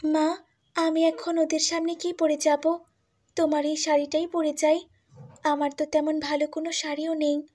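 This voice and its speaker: A woman's voice: high-pitched, flat delivery, moderate pace, darkish tone, close to an everyday voice. A woman playing a meek, dutiful young stepdaughter in a cartoon fairy tale, speaking politely and eager to please. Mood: wondering.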